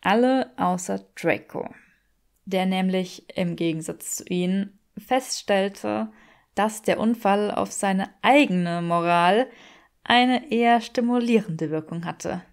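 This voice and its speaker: A woman's voice: medium pitch, slow pace. A woman reading scripted fiction aloud as an audiobook narrator.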